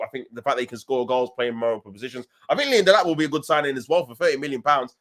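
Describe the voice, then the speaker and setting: Fast-talking London man, animated football streamer. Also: medium pitch. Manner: animated, slangy, emphatic. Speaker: football fan channel livestream host. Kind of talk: casual live chat, reading and answering viewer comments.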